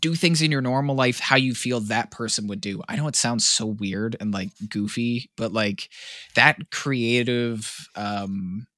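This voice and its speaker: A man's voice: medium pitch, a little slow, bright, forceful tone, no echo, clean studio sound. A man chatting on a podcast, rambling and often stopping to hunt for the right word. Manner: rambling, searching for words, many fillers. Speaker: podcast co-host. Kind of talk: casual podcast chat.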